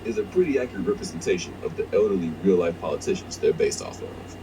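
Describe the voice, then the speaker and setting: Deep, quick male narrator with noise. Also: animated. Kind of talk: scripted countdown video narration. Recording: noisy playback of a video.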